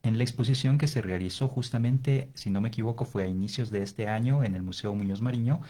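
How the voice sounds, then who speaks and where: A man's voice: lowish voice, brisk, dark tone. A man hosting a conversational interview, appreciative and tentative, often ending on a questioning tag. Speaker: interview host.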